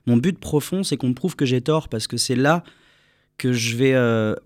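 A young man from France, a singer answering interview questions in a relaxed, rambling way with many fillers. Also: medium pitch, normal speed, no echo, studio quality. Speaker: singer guest.

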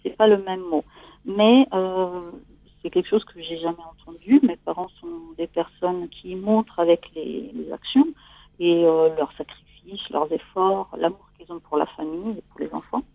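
A woman's voice: lowish voice, normal speed, gravelly, dry recording, narrow, tinny audio. A woman in her forties from Italy, speaking with an Italian accent in a hesitant, conversational way as she shares something personal. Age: 40s. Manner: hesitant, searching for words. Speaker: guest seeking coaching.

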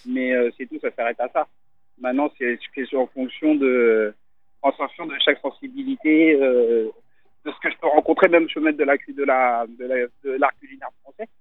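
A man from Italy, a restaurant owner, talking casually on the phone in an interview, relaxed and hesitant with many fillers. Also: mid-range voice, moderate pace, dry recording. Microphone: telephone. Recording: tinny phone line.

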